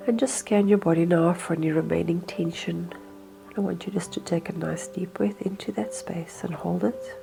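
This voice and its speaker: A woman guides a meditation in a slightly low, mellow voice. Her delivery is hushed and soothing, with unhurried, hypnotic phrasing and a gravelly creak at the edges. Faint music plays behind her. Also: fairly even intonation.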